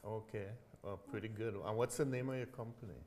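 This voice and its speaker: Low-voiced male talk show host. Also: a little fast, crowd noise. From Trinidad. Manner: laughs often, encouraging backchannels. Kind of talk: community TV interview.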